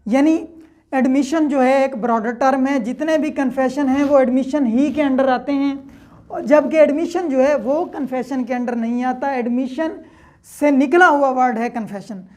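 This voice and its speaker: A woman's voice: high voice, normal speed, a little mellow. A woman teaches as a lecturer. She explains methodically with examples, restating each point and checking that it is understood. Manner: didactic, step-by-step, repeats points and checks understanding. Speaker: law lecturer.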